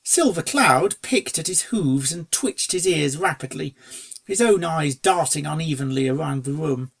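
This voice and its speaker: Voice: medium pitch, lively intonation, unhurried, vocal fry. A narrator reading a story aloud in a scripted storybook style. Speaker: fan fiction reader.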